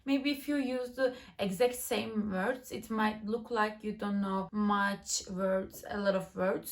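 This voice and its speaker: A woman's voice: on the high side, vocal fry. A young woman from Turkey with a Turkish accent gives chatty, step-by-step tips for a language exam from home, with small slips in her English. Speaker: TOEFL tips YouTuber. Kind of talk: casual instructional vlog. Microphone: laptop nearby.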